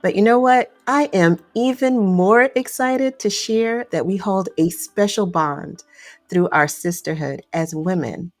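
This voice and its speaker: A woman's voice: a little slow, no room sound, faint music behind. A woman hosting a podcast, reading an upbeat introduction and asking questions with bursts of enthusiasm. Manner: enthusiastic, with sudden upward pitch jumps. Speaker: podcast host. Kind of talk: scripted introduction and interview questions.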